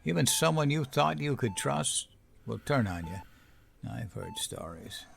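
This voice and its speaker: An actor playing a weary, wistful old grandfather in an audio drama, speaking gently and cautioning with fond worry. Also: medium pitch, big pitch swings, measured pace, exaggerated voice. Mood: worried, fond. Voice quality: gravelly, creaky.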